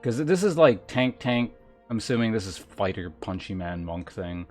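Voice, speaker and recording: A man's voice: lowish voice, expressive, moderate pace, a little mellow. Casual male game streamer over music. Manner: informal, thinking aloud. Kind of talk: casual livestream chat, reading game text aloud.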